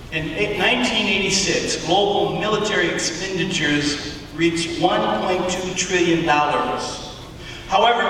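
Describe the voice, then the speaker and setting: A man delivering a sermon, speaking emphatically and deliberately with rhetorical questions and pauses in a large auditorium. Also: high-pitched, bright, forceful tone. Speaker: preacher giving a public address. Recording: echoey hall from far off, faint noise.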